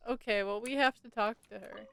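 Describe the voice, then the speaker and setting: A woman's voice: fairly high pitch, steady tone, brisk, creaky, a bit bright, clean tone. A woman chatting casually and enthusiastically as she streams a game. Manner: gushing, enthusiastic. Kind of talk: casual livestream gaming chat. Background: game music.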